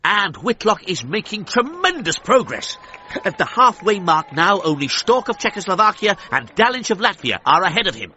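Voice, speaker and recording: Period radio drama: British race commentator, excitable, forceful and high, dull noisy broadcast sound. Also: male voice, normal speed, exaggerated voice. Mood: excited. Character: excitable 1930s male British sports commentator.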